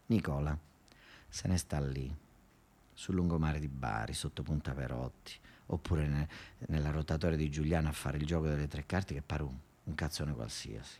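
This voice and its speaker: A man's voice: a bass voice, mellow. Southern Italian man telling a theatrical story. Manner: colloquial, emphatic, dramatic pauses. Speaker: actor-narrator. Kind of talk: satirical theatrical monologue, storytelling.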